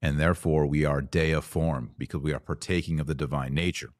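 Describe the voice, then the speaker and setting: A man hosting a podcast, giving a religious lecture in an emphatic, didactic manner with dramatic pauses. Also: extremely low pitch, steady tone, no room sound, studio quality. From Texas. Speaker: podcast host.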